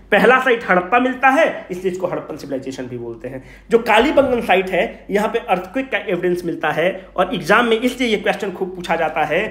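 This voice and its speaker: A man's voice: high-pitched, fairly bright tone. A man teaching an exam revision class informally, asking questions and answering them himself, mixing in English phrases. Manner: informal, teasing, addresses students familiarly; mixes English phrases into speech. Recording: echoey room.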